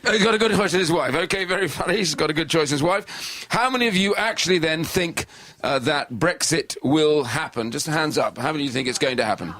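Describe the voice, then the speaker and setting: A man hosting on radio, briskly greeting and questioning studio guests with formulaic politeness. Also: fairly high pitch, animated, bright, forceful tone. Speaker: radio host.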